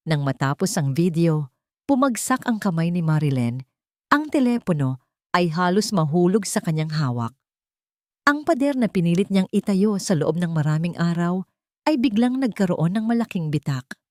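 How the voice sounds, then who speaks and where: A woman's voice: on the low side, a little mellow, dry recording, clean studio sound. A woman narrating a dramatic scripted story.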